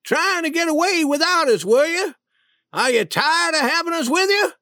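A blustering older con-man king from a storybook, acted out by a narrator. He is indignant and accusing, pitched high, with animated swings in his delivery. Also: normal speed, gravelly, no room sound, studio quality, heavily acted. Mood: angry.

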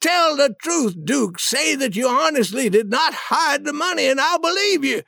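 A narrator as bullying old fraud king, storybook villain, high raised voice, indignant, swooping intonation, dry close sound. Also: animated, moderate pace, creaky, dry recording, exaggerated voice. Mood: pleading. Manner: indignant, accusing, loud. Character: bullying, blustering older male con-man posing as king.

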